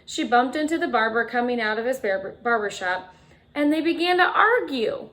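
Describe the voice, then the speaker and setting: A woman reading a picture book aloud to children like a teacher, expressively, with dramatic emphasis and chatty asides. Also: fairly high pitch. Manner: expressive, dramatic emphasis, drawn-out refrains, chatty questions to young listeners. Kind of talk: animated children's storytime read-aloud with asides to listeners.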